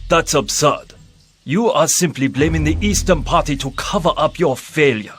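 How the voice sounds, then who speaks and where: An actor playing a pompous, dismissive and quarrelsome court minister in a dramatic game cutscene. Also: male voice, a little high, animated, moderate pace. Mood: scornful, accusing. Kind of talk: dramatic scripted game acting. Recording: loud music behind the voice.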